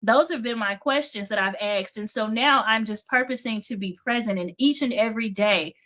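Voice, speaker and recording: A woman's voice: a little high, moderate pace, fairly bright tone. A middle-aged woman hosting a live faith and business stream, chatty and encouraging, says y'all, greets viewers by name, and pushes through a strained voice she is close to losing.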